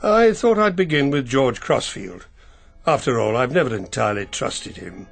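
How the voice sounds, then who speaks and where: An actor playing a discreet family solicitor with an English accent, speaking in a measured, polite, reserved way. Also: male voice, mid-range voice, expressive, vocal fry. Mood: measured, grave. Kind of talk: radio mystery drama acting.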